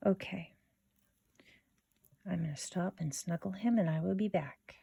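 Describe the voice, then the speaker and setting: Slow-talking, creaky-voiced woman craft instructor. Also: lowish voice, warm tone, no room sound, muffled recording. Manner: informal, unhurried, thinking aloud as she works. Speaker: craft tutorial host. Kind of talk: casual step-by-step craft tutorial.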